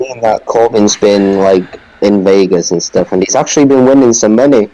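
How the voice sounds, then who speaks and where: A man's voice: fairly low pitch, normal speed, mellow, lo-fi sound. A man co-hosting a casual podcast, giving short, matter-of-fact verdicts. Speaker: podcast co-host. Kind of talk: casual chat.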